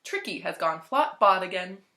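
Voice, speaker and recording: A woman's voice: lowish voice, animated, moderate pace, pushed and bright, roomy sound. A woman voicing a gushing, fretful, wealthy elderly widow who dotes on her dog, with dramatic, effusive delivery in a storybook reading. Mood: anxious. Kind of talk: storybook read-aloud character voice.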